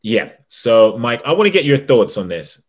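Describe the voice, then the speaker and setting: A man's voice: medium pitch, sing-song, moderate pace. A man co-hosting a casual sports podcast, rambling with frequent restarts and easy laughs. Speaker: podcast co-host.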